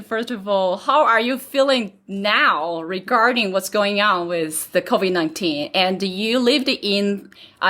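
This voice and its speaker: A woman's voice: medium pitch, bright, forceful tone. A woman co-hosting a podcast, interviewing a guest with friendly, careful questions.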